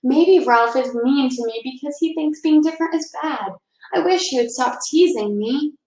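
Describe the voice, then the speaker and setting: A woman's voice: sits high, moderate pace, darkish tone, lightly acted. A woman voicing an earnest, sensitive little schoolgirl in a storybook read-aloud. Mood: worried. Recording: roomy, echoing indoor sound.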